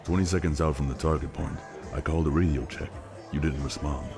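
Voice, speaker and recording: Very deep-voiced weary operative played by a man. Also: fairly even intonation, fairly quick, vocal fry. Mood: wary, serious. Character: weary, cautious male operative, devoted new father. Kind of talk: naturalistic dramatic dialogue. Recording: background music and crowd under dialogue.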